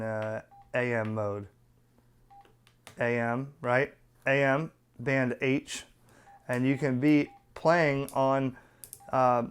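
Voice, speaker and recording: A man's voice: mid-range voice, clean tone. A man presenting a casual, unscripted hands-on product review, talking bluntly and colloquially as he explains things step by step.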